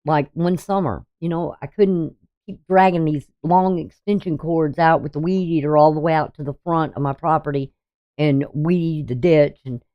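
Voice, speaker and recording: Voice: medium pitch, steady tone, normal speed. Rambling astrologer in their sixties, muffled. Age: 60s. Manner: rambling, hesitant, with frequent ums and restarts. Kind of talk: casual daily astrology reading over a chart.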